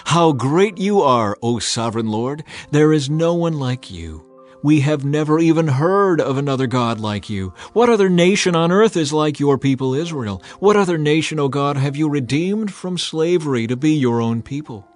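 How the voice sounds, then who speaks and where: A man's voice: fairly high pitch, lively intonation, moderate pace, soft background music, lightly acted. A man playing a humble king who prays earnestly and declaims with reverent gratitude, in a dramatized scripture reading. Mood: fervent praise.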